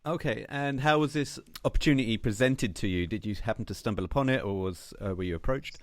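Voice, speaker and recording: A man's voice: on the low side, a little fast, no echo, clean studio sound. A man hosting a podcast in a chatty, tongue-in-cheek way as he presents and interviews.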